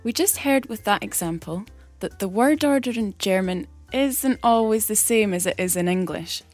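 A woman explaining a grammar rule in a clear, patient teaching manner, as a recorded segment. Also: mid-range voice, expressive, normal speed, a little mellow, no room sound, soft background music. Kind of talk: scripted explanation. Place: recorded separately from the studio.

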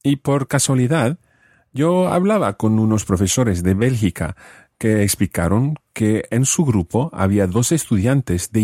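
A man hosting a podcast-style interview, friendly, clear and measured, introducing the guests and asking them questions. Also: fairly low pitch, a little mellow, dry recording, clean studio sound. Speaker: host. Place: Strasbourg.

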